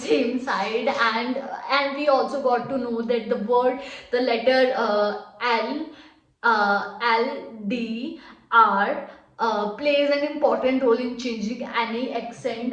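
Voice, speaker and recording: A young Indian woman with an Indian accent giving hesitant, casual reaction commentary full of fillers. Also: a little high. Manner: hesitant, many fillers, laughs softly. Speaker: reaction video co-host. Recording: echoey room, distant mic.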